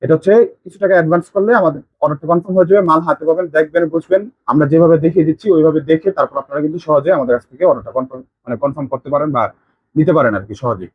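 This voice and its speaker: A man's voice: a little high, rapid-fire, slightly rough, no room sound, phone-quality sound. A man with a Bangladeshi accent giving an informal sales demonstration, with eager, repetitive patter as a shop presenter.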